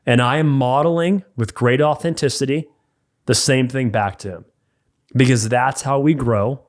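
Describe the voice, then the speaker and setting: Man, middle pitch, emphatic and direct, faith-based coaching talk on a podcast. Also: normal speed. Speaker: men's mentor and podcast co-host.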